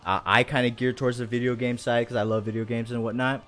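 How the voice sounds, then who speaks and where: A man's voice: mid-range voice, flat delivery, fast, fairly bright tone. A man hosting a casual livestream chat in an enthusiastic, rambling, slangy way. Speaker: show host. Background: faint music.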